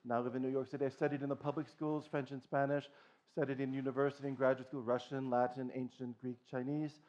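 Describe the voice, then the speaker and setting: A man's voice: mid-range voice, flat delivery, fast, darkish tone. A middle-aged man from Boston giving an earnest conference talk as a language teacher, lecturing with frequent restarts and "okay"s. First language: English. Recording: dull lo-fi recording.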